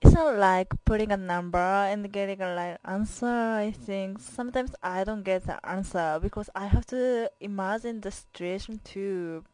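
A woman's voice: medium pitch, normal speed, few pauses, a little mellow, dry recording, poor audio. A young Japanese woman, a college student whose first language is Japanese, speaks English with a Japanese accent as she introduces herself to her class. She talks carefully and earnestly, with simple phrasing and small grammar slips. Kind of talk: self-introduction recording for class.

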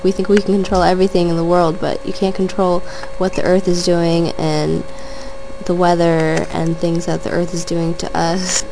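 A woman's voice: on the low side, background noise. A young woman in her twenties, a college student, talking about herself in an earnest, rambling monologue that strings thoughts together with "and". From Southern California. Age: 20s. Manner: earnest, rambling, chains clauses with "and". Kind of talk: casual personal monologue.

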